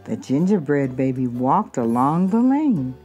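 A woman's voice: a bass voice, big pitch swings, unhurried, mellow, soft background music. A woman reading a children's picture book aloud expressively, drawing out key words. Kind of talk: storybook read-aloud.

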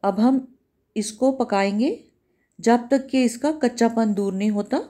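Soft, mellow-voiced woman explaining a recipe. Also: a little high, a little slow. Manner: calm, instructive, repeats steps. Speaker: cooking channel host. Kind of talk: step-by-step recipe voice-over. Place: home kitchen.

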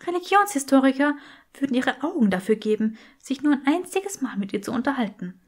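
A woman's voice: high-pitched, big pitch swings, brisk, pitched above the performer's own voice. A woman voicing a clever, earnest young witch with teasing wit in an audiobook, matter-of-fact in manner.

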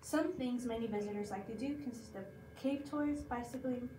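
A woman's voice: fairly high pitch, normal speed, reverberant, noisy background. Faint distant teen girl reading. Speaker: student presenter. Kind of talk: school project narration.

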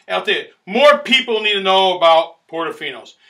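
Middle-aged New man, high and sharp-toned, pushy pitchman energy for a home-made food review show. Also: expressive, fairly quick. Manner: loud, boisterous, salesman-like, repeats himself. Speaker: food critic host. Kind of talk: restaurant review to camera. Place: small indoor studio in front of a banner backdrop.

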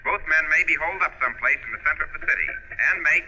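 An actor playing an urgent news bulletin announcer in 1940s radio style. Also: male voice, on the high side, animated, rapid-fire, sharp tone. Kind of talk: 1940s newscast acting. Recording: tinny, noisy old radio.

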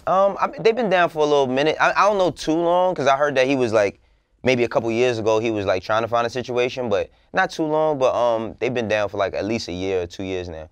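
A young man co-hosts a studio panel, easygoing and ribbing the others. His voice is smooth and resonant at a middle pitch, and the sound is dry and close. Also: fast talker, no room sound. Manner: laid-back, ribbing banter. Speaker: co-host. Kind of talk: casual studio panel chat. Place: TV studio set.

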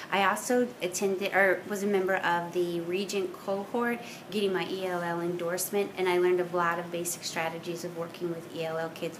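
A woman's voice: fairly low pitch, background noise. A woman social studies teacher describing conferences and strategies she uses, in a brick-walled room. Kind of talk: casual interview testimony.

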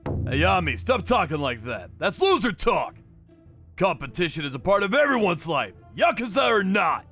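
An actor playing a hot-headed, boastful young yakuza with an emphatic, combative delivery in a scripted anime dub. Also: male voice, high voice, big pitch swings, moderate pace, bright, forceful tone, soft background music, heavily acted.

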